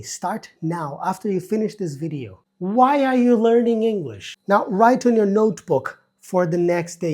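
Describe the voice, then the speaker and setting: A man teaching an online English lesson in a slow, emphatic way, with joking asides. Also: sits high, darkish tone.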